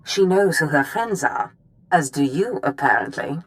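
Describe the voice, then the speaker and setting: A commanding female Sith master, low-voiced with very animated intonation. She sounds measured and superior, in dramatic video game style. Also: low-quality recording. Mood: pleased, knowing. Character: commanding Sith master addressing her apprentice.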